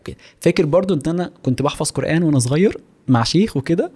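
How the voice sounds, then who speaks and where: A man's voice: fairly high pitch, animated, very fast, darkish tone, breathy edge. A man in his twenties with an Egyptian Arabic accent, chatting casually as a podcast guest at a close studio microphone. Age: 20s. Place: small home-style studio office, indoors. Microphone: close studio microphone on boom arm.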